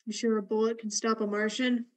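Radio play scientist, acted by a woman: high female voice, cool, dry, curious. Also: level tone, a little fast, natural voice. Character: calm, wryly curious female space expedition scientist.

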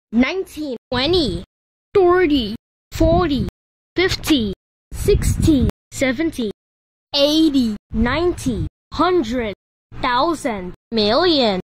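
A woman reading scripted elevator announcements in deliberate, separated words and short phrases. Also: high-pitched, a little hiss or hum, low-quality recording.

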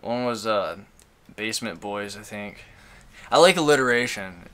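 A man in his twenties from Michigan, co-hosting a crude casual podcast chat, loud and profane with frequent laughter. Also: fairly low pitch, lively intonation, moderate pace. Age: 20s. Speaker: podcast co-host.

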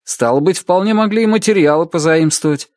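A confident police investigator acted by a male narrator puts courteous, probing questions in a detective audiobook. His pitch is lifted, and the recording is dry. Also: a little fast, darkish tone, no echo, lightly acted. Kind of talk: audiobook character dialogue.

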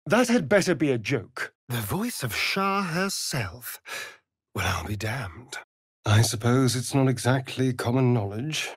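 An actor playing a vain, sardonic vampire rogue in a video game, with a British accent and an arch, aristocratic, theatrical delivery. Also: male voice, medium pitch, animated, a little slow, husky, dry recording, studio quality. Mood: arch, wry. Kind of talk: theatrical game character dialogue.

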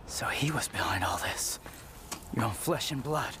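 Brash young man with lively swings, acted. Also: mid-range voice, moderate pace, background noise, close to an everyday voice. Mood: shocked. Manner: casual, informal, impulsive. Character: brash, cocky young demon-hunting man. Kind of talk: naturalistic video game cutscene acting.

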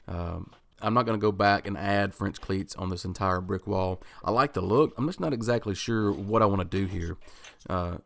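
A man in his thirties, a woodworking channel host, talking through his project in a relaxed voice-over, thinking aloud with ums and restarts. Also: on the low side, vocal fry. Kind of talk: casual voice-over explaining a project.

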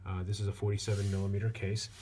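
Low, flat-toned man reviewing casually. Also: racing. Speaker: watch reviewer. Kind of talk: casual hands-on product review. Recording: clear steady background noise.

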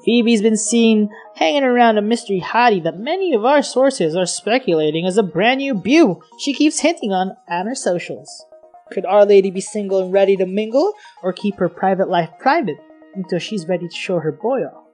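A woman's voice: mid-range voice, lively intonation, moderate pace, darkish tone, close to an everyday voice. A woman playing a gushing, slangy young celebrity-gossip video reporter in an audio drama. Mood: gushing, teasing. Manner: peppy, slangy, teasing. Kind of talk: online gossip news patter. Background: music.

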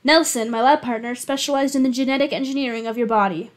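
A woman's voice: sits high, quick pace, close to an everyday voice. A woman voicing a sarcastic female scientist in a storybook reading. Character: sarcastic female scientist driving a getaway car.

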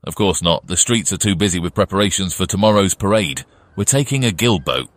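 Smug, menacing deputy. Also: male voice, low voice, lively intonation, brisk, no echo. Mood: gleeful. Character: sneering, menacing male deputy, cold and smug. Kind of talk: full cast audio drama.

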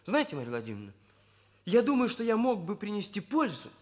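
High-voiced earnest young man. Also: huge pitch swings, quick pace. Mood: earnest, resigned. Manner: stiffly formal, bookish phrasing. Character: earnest, proud young male hairdresser, naively bookish. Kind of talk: Soviet radio drama acting.

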